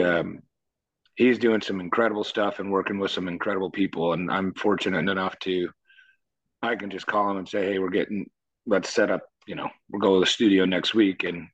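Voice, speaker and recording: A man with a low voice, a musician guest chatting casually in an interview, easygoing and rambling. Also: muffled recording. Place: Nashville.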